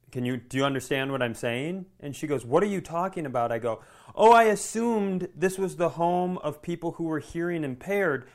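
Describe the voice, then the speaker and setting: A man hosting a comedy podcast, telling a story casually and animatedly, swearing freely and acting out the dialogue. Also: a little high. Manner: animated, profane, acts out quoted dialogue.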